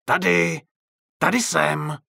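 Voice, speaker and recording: Man putting on a taunting raspy ghost clown, swooping high. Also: big pitch swings, a bit bright, dry recording, studio quality, heavily acted. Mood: mocking. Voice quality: raspy, throaty laugh. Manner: mocking, sing-song scolding. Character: taunting vengeful ghostly male circus clown, raspy and gleeful.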